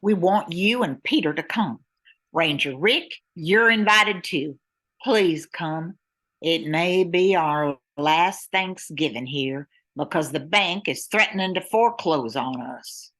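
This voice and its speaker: Woman playing a low-voiced, steady pilot. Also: takes it slowly. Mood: worried. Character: steady, worried woman bush pilot running small airline. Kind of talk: amateur radio drama acting.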